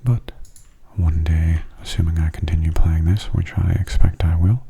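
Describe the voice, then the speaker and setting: A man speaks softly and breathily, close to a whisper, as he commentates on a video game in ASMR style. His voice is low and very mellow, with a flat, narrow melody. He is gentle and unhurried throughout. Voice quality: soft, breathy, half-whispered. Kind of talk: soft-spoken ASMR gameplay commentary.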